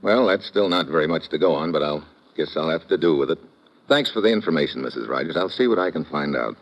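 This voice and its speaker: A hard-boiled detective with a very low voice that still moves around a lot in pitch. He talks laconic and matter-of-fact, and it sounds like a dull old radio recording with music under it. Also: animated, quick pace, noisy background. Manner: laconic, matter-of-fact narration in present tense. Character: hard-boiled American male detective narrating. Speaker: private investigator and narrator. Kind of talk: 1950s radio drama acting.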